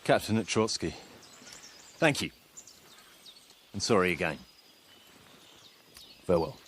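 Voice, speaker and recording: An actor playing a stern, wary guard captain in a game, speaking in a curt, formal and polite way. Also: male voice, deep voice, lively intonation, takes it slowly, a bit bright, slight background noise, full character voice. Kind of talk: video game cutscene acting.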